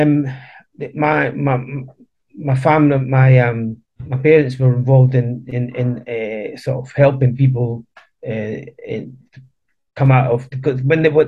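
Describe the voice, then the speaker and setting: A man in his fifties who was born in Chile talks through his family history in a relaxed interview. He often stops, repeats words and restarts. His voice sits at an ordinary middle pitch, and the recording sounds a little dull and lo-fi. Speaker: guest. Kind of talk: casual interview chat.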